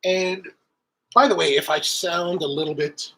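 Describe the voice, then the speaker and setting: A man's voice: fairly high pitch, steady tone, a little slow, a bit bright. Nasal, stuffed-up coffee vlogger man. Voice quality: slightly nasal. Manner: rambling, many restarts and fillers. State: stuffy nose from sniffles. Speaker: coffee channel host. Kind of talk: casual tasting talk to camera.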